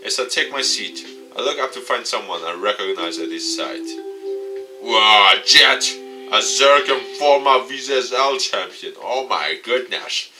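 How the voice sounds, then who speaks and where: A man hosting a let's play, reading story text aloud and adding excitable asides. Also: medium pitch, measured pace. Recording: distant mic with music behind. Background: guitar game music.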